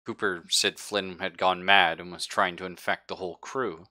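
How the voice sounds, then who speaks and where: A man running a tabletop roleplaying game, speaking in character with a measured, halting delivery. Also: low voice, steady tone, bright, forceful tone. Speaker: game master.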